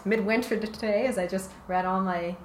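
A woman's voice: medium pitch, steady tone, a little fast. A woman from Canada, a researcher guest in a casual interview, speaking hesitantly with many fillers and frequent laughs. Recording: roomy with faint ambient noise.

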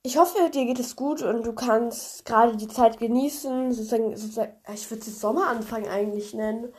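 Young female podcast host, congested with a cold, chatty and meandering, slightly high voice. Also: brisk. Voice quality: congested, nasal voice. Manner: chatty, rambling, many fillers. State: stuffy cold. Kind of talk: casual retelling of a fairy tale.

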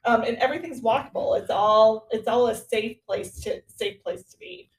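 A woman's voice: fairly high pitch, moderate pace, distant mic. A woman hosting a conversational interview podcast in a chatty, somewhat hesitant way, recorded with roomy echo away from the mic. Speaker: podcast host.

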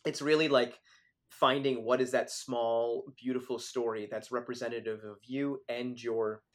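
A young man in mid-range pitch, talking through his experience as a filmmaker in a relaxed interview. He keeps it conversational, sprinkling "like" and "you know" as he thinks aloud. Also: normal speed. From Philadelphia area, born in Switzerland. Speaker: filmmaker guest. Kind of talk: casual interview talk.

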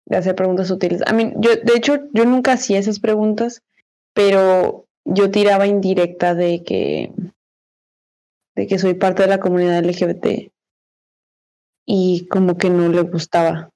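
A woman's voice: medium pitch, normal speed, mellow. A young woman in her twenties with a Mexican accent, co-hosting a podcast in a loose, slangy chat, repeating herself and laughing often. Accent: Mexican Spanish. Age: 20s. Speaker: podcast co-host. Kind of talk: casual chat.

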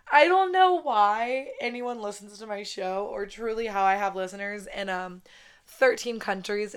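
Woman in her twenties, podcast host, raspy voice, casual chatty talk, frequent swearing, close mic. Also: medium pitch, fairly bright tone. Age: 20s. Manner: chatty, swears a lot, says "like" constantly. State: raspy voice after a wedding weekend. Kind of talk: casual solo podcast chat. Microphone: podcast microphone, close.

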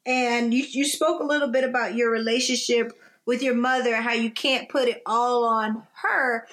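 A woman co-hosting a relaxed conversation, her voice pitched high. She talks in an animated way, laughs often and slips into little acted-out quotes. She is pregnant. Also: normal speed. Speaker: podcast co-host. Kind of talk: casual conversational interview.

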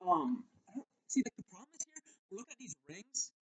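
Young man, eighteen, loud and rambling, drawl, casual school podcast co-host. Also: high-pitched, expressive, fast talker, slightly rough, poor audio. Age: young adult. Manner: loud, rambling, self-interrupting. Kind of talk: casual chat.

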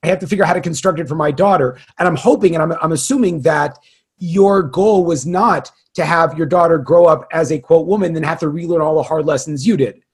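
Male interviewer, slightly high and husky, wordy, halting, rephrasing questions in a conversational style. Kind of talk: conversational long-form interviewing.

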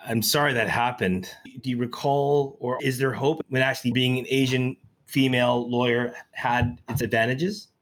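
A man's voice: medium pitch, fairly even intonation, moderate pace, few pauses. A man interviewing a guest, asking careful follow-up questions and restarting words as he goes.

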